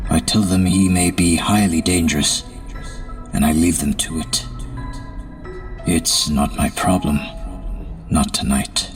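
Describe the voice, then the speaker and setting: A man playing a grave, introspective asylum doctor, reading his journal in a solemn, measured way for an audio drama. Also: very deep voice, fairly even intonation, soft background music. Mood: brooding, weary. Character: grave, brooding gentleman asylum doctor, a doting father. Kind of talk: first-person journal narration in audio drama.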